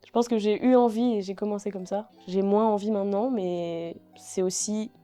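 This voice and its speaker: A young woman guest talking casually in an interview, relaxed and hesitant with many fillers as she thinks aloud. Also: medium pitch, normal speed, soft background music. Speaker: singer-songwriter guest.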